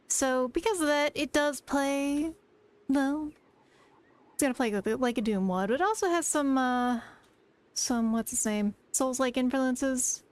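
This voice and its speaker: A woman streamer chatting casually to her viewers in a rambling, talkative way. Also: high voice. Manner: rambling, chatty, talks to chat. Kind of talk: casual live-stream chat.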